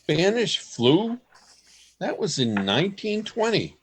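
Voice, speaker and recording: A man playing an earnest, bewildered grandfather in a radio play. He speaks slowly and deliberately, with a widely moving pitch. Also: very animated, slight background noise, exaggerated voice. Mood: incredulous.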